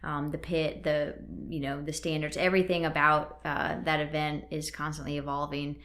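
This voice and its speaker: Low-voiced woman chatting with many fillers. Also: level tone, moderate pace, a bit bright. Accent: mixed Northern and Southern US. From Wisconsin and North Carolina, US. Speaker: podcast guest, CrossFit coach and gym owner. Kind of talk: casual interview chat. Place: physical therapy clinic.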